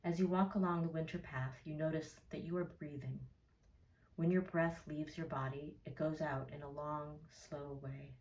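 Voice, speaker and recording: A woman with a deep, mellow voice leads a guided meditation. She is soothing and measured, letting gentle pauses fall between phrases. The recording is echoey, as if made in an open room. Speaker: therapist leading a guided meditation. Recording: roomy echo, distant from mic.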